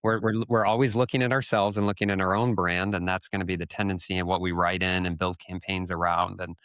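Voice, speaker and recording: Man, fairly deep voice, dry close sound, co-host, conversational, long thinking-aloud sentences. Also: level tone, quick pace, a bit bright, no room sound. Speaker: podcast co-host. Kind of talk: conversational commentary leading into questions.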